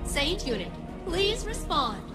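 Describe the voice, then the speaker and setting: Eager, polite female computer, game role: precise, bright-mannered, synthetic, animated pitch. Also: slow pace, over music, exaggerated voice. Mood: businesslike. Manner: precise, formal, perky. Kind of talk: video game voice acting.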